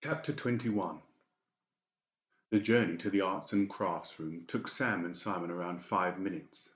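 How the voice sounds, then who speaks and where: Very deep-voiced male audiobook narrator. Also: measured pace, a little hiss or hum. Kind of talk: scripted dramatic story narration. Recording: roomy, slightly dull sound.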